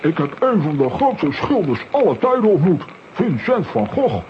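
An actor playing an excitable, self-important comic adventurer with theatrical delivery in a children's audio drama. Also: male voice, high voice, normal speed, lo-fi sound, slight background noise. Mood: self-satisfied.

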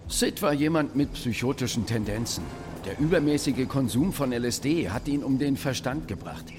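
Male commentator, acted: factual, explanatory, medium pitch, documentary radio play with music bed. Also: expressive, moderate pace, close to an everyday voice. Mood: matter-of-fact. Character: matter-of-fact male commentator on a band's history. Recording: music behind the voice.

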